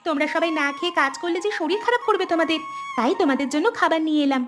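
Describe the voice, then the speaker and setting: Woman voicing caring young cartoon daughter, high-pitched, quick, sweet, music behind. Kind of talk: cartoon dialogue.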